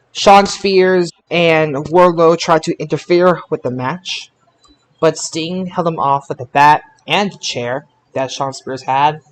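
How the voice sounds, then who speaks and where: A man with a fairly high voice hosts a casual sports recap podcast. He talks haltingly, doubling back on words as he pieces details together. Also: a little hiss or hum, rough recording. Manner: halting, repeats words while recalling details. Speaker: podcast host. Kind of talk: casual wrestling results recap.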